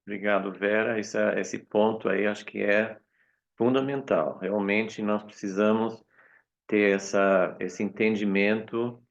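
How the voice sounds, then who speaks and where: A man hosting a prayer meeting, speaking slowly and deliberately with hesitant fillers. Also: on the low side, fairly even intonation, gravelly, lo-fi sound. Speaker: host of the meeting.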